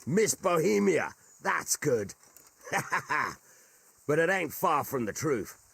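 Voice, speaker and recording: A man playing a rough, plain-spoken veteran mercenary in a video game, with a husky voice and an earthy, colloquial way of talking. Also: fairly high pitch, very animated, slow pace, a bit bright, no echo, exaggerated voice. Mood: amused. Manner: colloquial, rustic, earthy storytelling. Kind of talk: dramatic video game cutscene dialogue.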